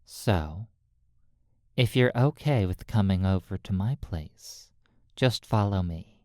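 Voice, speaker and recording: A hypnotist guides a sleep session at a very unhurried pace. The delivery is soft and soothing, broken into short, deliberate phrases. The voice sits close on the mic in a dry, echo-free space. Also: deep voice, animated. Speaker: hypnotist and recording host.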